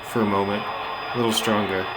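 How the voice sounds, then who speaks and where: A man's voice: lowish voice, flat delivery, a little fast, rough recording. A man giving casual, off-the-cuff commentary as a radio hobbyist, thinking aloud hesitantly as he goes. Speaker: shortwave radio hobbyist host. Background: shortwave radio broadcasts and music.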